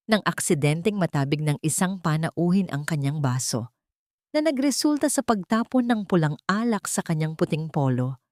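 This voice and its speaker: Low-pitched woman narrating a drama, close dry sound. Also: a little mellow, no echo. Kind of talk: scripted dramatic story narration.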